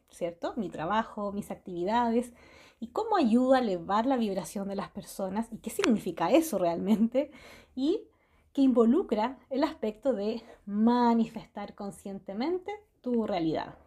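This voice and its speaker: Woman, Chilean accent, radio host, cheerful spiritual monologue, slightly high voice, frequent tag questions. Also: warm tone. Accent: Chilean Spanish. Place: small house in a hillside forest.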